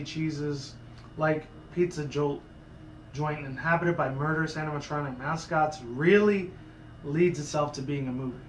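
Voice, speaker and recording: A man with a sore, hoarse voice, talking in a casual, rambling commentary vlog full of asides and restarts. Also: fairly high pitch, fairly bright tone, echoey room, a little hiss or hum, low-quality recording. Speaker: YouTuber.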